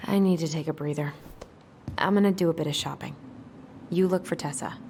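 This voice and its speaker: A woman's voice: deep voice, normal speed, a little mellow, a little hiss or hum. An actress playing a wry, skeptical young woman in a naturalistic video game drama. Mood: weary, wry. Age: young adult.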